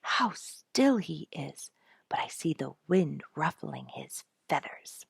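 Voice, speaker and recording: A woman's voice: deep voice, big pitch swings, takes it slowly, gravelly, slightly rough, no echo, heavily acted. A woman voicing a delighted, wide-eyed little girl in a read-aloud nature story, full of exclamations. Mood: excited wonder. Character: excited, delighted little girl on a wilderness outing.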